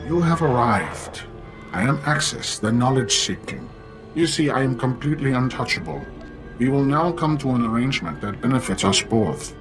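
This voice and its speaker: An actor playing a cold, calculating artificial intelligence in a video game, speaking formally and matter-of-factly. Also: male voice, medium pitch, animated, music in the background, lightly acted. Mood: grandiose, calculating. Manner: formal, measured, matter-of-fact. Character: cold, calculating knowledge-seeking artificial intelligence, male-voiced. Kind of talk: video game cutscene acting.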